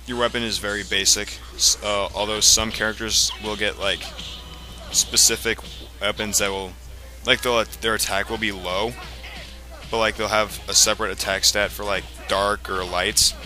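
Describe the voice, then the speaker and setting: A man giving casual, explanatory gameplay commentary in a halting way, as the host of a let's play. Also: fairly low pitch, flat delivery, bright, forceful tone. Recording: game music behind the voice. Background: video game music.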